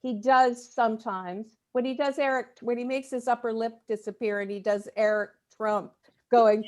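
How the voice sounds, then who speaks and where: A woman chatting casually in a group discussion, halting and repeating words as she works out her thoughts. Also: a little high, fairly even intonation. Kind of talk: casual video-call chat.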